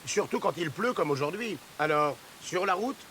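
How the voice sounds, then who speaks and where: A man voicing a steady, helpful rescue vehicle in a French-dubbed children's cartoon, measured and advising. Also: high-pitched, a little hiss or hum. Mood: calm, cautioning.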